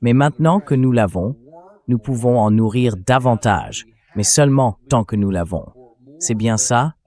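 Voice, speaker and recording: Ai dub guy hosting an interview, medium pitch, asks stuff in a measured curious way. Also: lively intonation, dark tone. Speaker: interview host. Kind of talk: dubbed interview questions read by AI voice.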